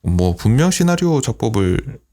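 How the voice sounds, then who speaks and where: A man's voice: mid-range voice, sing-song, normal speed, mellow. A man from Korea's Gyeongsang region with a Gyeongsang accent, hosting a casual conversation in a laid-back, musing way. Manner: laid-back, musing, many murmured backchannels. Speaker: podcast host, senior filmmaker.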